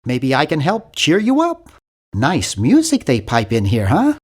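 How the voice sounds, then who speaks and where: A man's voice: mid-range voice, huge pitch swings, a little slow. A man voicing a nerdy, timid young adventure-game hero, bookish and polite, with a nasal voice and an awkward, earnest, fussy manner. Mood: awkward, friendly. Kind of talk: video game character voice lines.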